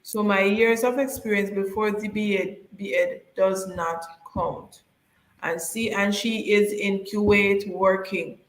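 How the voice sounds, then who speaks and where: A woman's voice: medium pitch, fairly even intonation. Emphatic woman live stream host, echoey. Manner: brisk, emphatic, encouraging.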